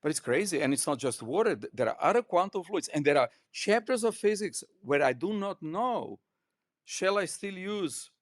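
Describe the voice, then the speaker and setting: Older man, Croatian accent, unscripted interview talk, emphatic, digressive, halting word repetitions, dry close sound. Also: high voice, animated, no echo. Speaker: physics professor, podcast guest.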